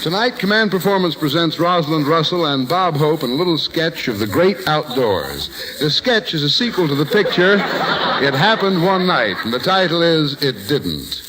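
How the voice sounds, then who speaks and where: A man's voice: fairly high pitch, sing-song, normal speed, over a noisy crowd. A man announcing and narrating a comedy sketch in scripted 1940s radio style. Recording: noisy 1940s radio broadcast.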